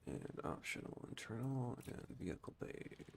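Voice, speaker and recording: A man's voice: medium pitch, very animated, moderate pace, vocal fry, background noise. A man giving casual gameplay commentary as a streamer, thinking aloud and explaining things informally. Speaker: game streamer.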